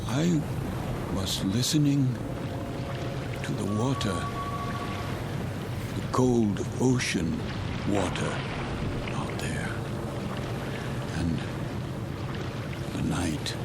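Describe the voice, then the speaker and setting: An actor playing a confused, haunted ship passenger in a radio drama, halting, terse and guarded. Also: male voice, lowish voice, lively intonation, creaky, heavy background noise, heavily acted. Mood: brooding.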